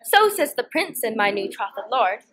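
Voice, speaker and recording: A woman's voice: on the high side, big pitch swings, normal speed, a bit bright. A teenage girl playing a scheming young noblewoman in a student performance of Shakespeare, with a declamatory delivery. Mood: scheming, brisk. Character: Hero, scheming young noblewoman feigning disdain for her cousin.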